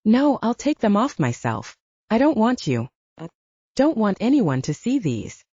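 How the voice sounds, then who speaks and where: A woman's voice: medium pitch, very animated, measured pace, mellow, breathy edge, no room sound, voice pushed up. A woman voicing a tough but distressed young female soldier in an audiobook, demanding and emotional, cursing as she talks. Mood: distressed, angry. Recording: dull lo-fi audio, dry.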